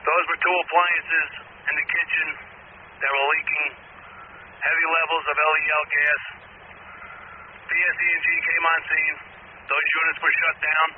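A man serving as a fire incident commander, speaking tersely over a two-way radio. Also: fairly high pitch. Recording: noisy narrow-band fire radio transmission.

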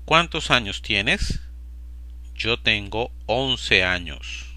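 A man slowly and deliberately repeating short phrases for language learners. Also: lowish voice, animated, bright, forceful tone, dry recording. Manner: slow, deliberate, evenly paced. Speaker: Spanish teacher. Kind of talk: slow repetition drill for learners.

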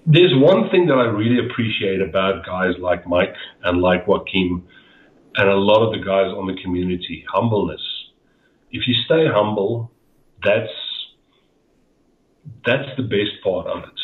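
Low-voiced Afrikaans-accented man on a tinny call. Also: a little slow. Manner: rambling, repeats words, frequent "uh" fillers. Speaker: guest. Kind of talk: casual interview chat. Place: dim home room. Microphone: headset on a video call. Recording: echoey tinny video-call audio.

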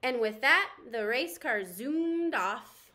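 A young woman reads a children's story aloud with expressive narration. Her voice sits high and has a bright, forward edge. She takes her time over the sentences. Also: slow pace. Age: young adult. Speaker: teacher reading a storybook aloud.

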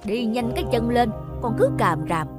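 A woman's voice: fairly high pitch, sing-song, normal speed. Pompous rich old man. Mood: boastful. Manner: boastful, scolding, bursts into shouting. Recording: music behind the voice.

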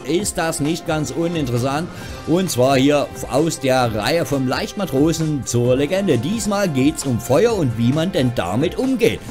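A man with a slightly raised voice hosts a gaming news show. He talks in a chatty, informal way with wry asides. Soft music plays behind him. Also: a little high, lively intonation, a little slow. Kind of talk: casual, tongue-in-cheek news commentary. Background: background music.

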